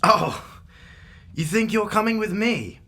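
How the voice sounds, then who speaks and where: An actor playing a brusque, secretive agent in an audio drama, speaking in a clipped, formal, scornful manner. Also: male voice, high-pitched, lively intonation, slow, bright, forceful tone, exaggerated voice. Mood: curt, impatient. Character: brusque, secretive male agent, impatient and dismissive.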